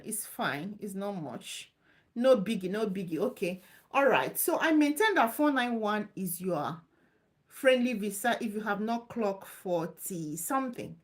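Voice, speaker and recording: A woman hosting a live Q&A stream, talking loosely and reassuringly, repeating herself as she answers viewers' questions. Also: medium pitch. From Nigeria. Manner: chatty, loose and repetitive, reassuring. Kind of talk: casual live Q&A.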